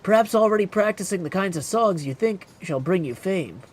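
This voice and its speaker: A man's voice: sits high, animated, brisk, pitched above the performer's own voice. A man voicing a young witch heroine from a video game in a formal, dryly pointed manner.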